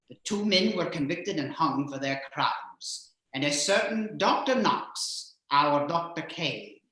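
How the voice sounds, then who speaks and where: A man's voice: on the high side, sharp tone. Echoey, campy vampire count, played by a man. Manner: melodramatic, campy, drawn-out delivery. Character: flamboyant, vain, grudge-holding male vampire count horror host. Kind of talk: theatrical horror host narration. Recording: very echoey room.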